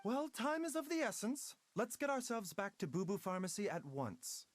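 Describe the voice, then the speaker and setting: An actor voicing a calm, courteous, enigmatic doctor in a video game, speaking in a formal, measured way. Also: male voice, high voice, dry recording. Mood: composed.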